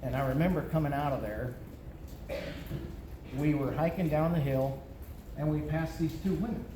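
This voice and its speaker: A man's voice: a little high, normal speed. Older man reminiscing, echoey room. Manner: reminiscing, plainspoken. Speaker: friend giving a eulogy. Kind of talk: memorial remembrance. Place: memorial gathering room. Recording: echoey room with background noise.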